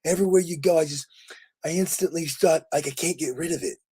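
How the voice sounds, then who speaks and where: A man with a raspy voice worn from a lot of talking, chatting casually and effusively with live viewers as host, in a jokey, rambling way. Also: on the high side, fast talker, warm tone. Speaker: livestream host.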